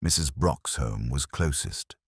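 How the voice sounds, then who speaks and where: A man's voice: a bass voice, lively intonation, unhurried, husky, no room sound, studio quality, full character voice. A man voicing an indignant village committee woman, haughty, dramatic and clipped, in a mystery story.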